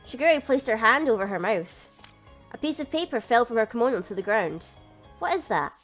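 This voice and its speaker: Picture a sheepish, exasperated young man telling his own story as a game narrator, read by a woman. The voice stays fairly high with only a slight drop for the role. The delivery is measured and steady. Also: soft background music. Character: young adult man, a flustered older brother who narrates. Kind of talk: reading a visual novel aloud.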